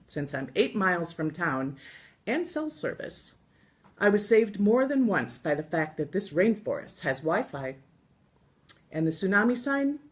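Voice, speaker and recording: An American woman reading her own scripted travel memoir aloud in an expressive storytelling manner, with deliberate pauses. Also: on the low side. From United States. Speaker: author reading from own book.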